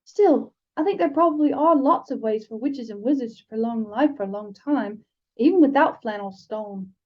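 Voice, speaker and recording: A woman's voice: fairly high pitch, normal speed, highs cut off, pitched above the performer's own voice, natural voice. A woman voicing a thoughtful young wizard schoolboy in a storybook reading, earnest and a little hesitant as he explains.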